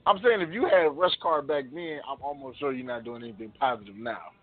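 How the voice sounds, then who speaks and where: A man's voice: medium pitch, fast, soft background music. A man in his late twenties co-hosting a casual podcast, slangy and repeating himself for emphasis, on a muffled recording. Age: late 20s. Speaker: podcast co-host. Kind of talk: casual joking banter.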